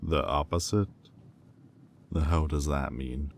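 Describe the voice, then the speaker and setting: A man voices a haughty demon with an extremely low voice and a slow, deliberate delivery. He is sardonic and condescending, in the manner of an audio roleplay villain, over faint background noise. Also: fairly even intonation, creaky, heavily acted. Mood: smug, intrigued. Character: haughty, sardonic male demon bidder at auction.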